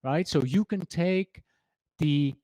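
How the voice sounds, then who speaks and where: A man's voice: fairly high pitch, slow, dark tone, dry recording. A man from Switzerland with a Swiss German accent, presenting an exam prep lesson in a chatty way, reading quotes from his slides and correcting himself as he goes.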